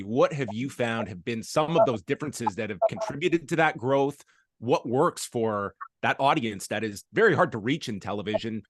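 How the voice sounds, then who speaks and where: A man asking a detailed, measured question as a journalist on a press conference call. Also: mid-range voice, no echo, clean studio sound.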